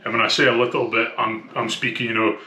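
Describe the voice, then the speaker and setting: Bright-voiced Scottish man, echoey room. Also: medium pitch, flat delivery, fast. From Scotland. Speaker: vlogger. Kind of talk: casual personal vlog to camera.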